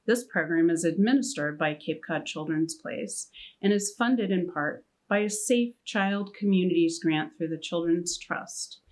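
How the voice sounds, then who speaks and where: A woman's voice: lowish voice, low-quality recording. A woman coordinator delivering a scripted, informational announcement for a family programme in a formal manner.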